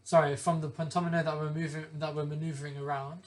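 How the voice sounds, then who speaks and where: A young man with a hoarse voice from a lingering cough, methodically explaining code step by step and often correcting himself. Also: fairly high pitch, little pitch movement, fast talker. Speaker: programming tutor. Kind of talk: screen-share coding walkthrough.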